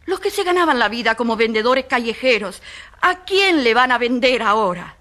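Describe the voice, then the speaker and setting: High, bright-voiced indignant woman advocate. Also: lively intonation, moderate pace. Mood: bitter, indignant. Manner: emphatic, building lists with rhetorical repetition. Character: passionate, indignant woman defending slum dwellers. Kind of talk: radio drama acting.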